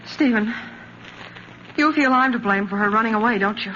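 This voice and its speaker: A woman's voice: a little high, normal speed, a bit bright, highs cut off, natural voice. An actress playing an anxious, wounded stepmother in an earnest, emotional 1950s radio drama style. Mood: hurt, upset. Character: anxious, wounded stepmother and unhappy young wife. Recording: scratchy, band-limited vintage radio recording.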